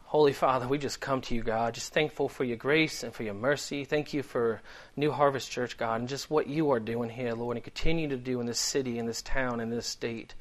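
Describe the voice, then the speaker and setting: A man's voice: mid-range voice, fairly even intonation, a little fast. A man in his thirties from Delaware with a Boston accent, preaching a sermon in an earnest, conversational way with frequent ums. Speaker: guest preacher.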